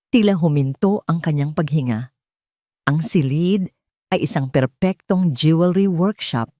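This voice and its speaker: Low-pitched woman narrating a story. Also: mellow, dry recording, clean studio sound. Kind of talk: scripted dramatic story narration.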